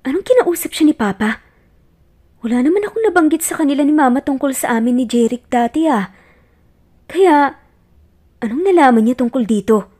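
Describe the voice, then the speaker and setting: High-voiced heartbroken young woman acted by a woman. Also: expressive, fairly quick, long pauses, mellow. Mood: quiet, confused. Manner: emotional, accusing, pleading. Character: hurt, tearful young woman confronting lost love. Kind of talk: romance audio story acting.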